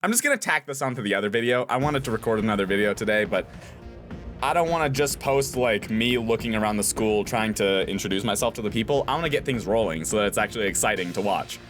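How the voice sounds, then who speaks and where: Young guy doing lets play commentary, lively jokey voice, game music faint. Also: lowish voice, quick pace. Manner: animated, jokey. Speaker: voice actor playing a game.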